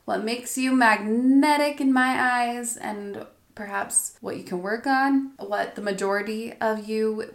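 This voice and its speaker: A woman talking directly to her viewers in a gentle, soft voice that often drops near a whisper, sounding a little stuffy from allergies. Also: a little high, roomy sound. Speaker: astrology vlogger. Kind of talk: reflective spoken monologue to viewers.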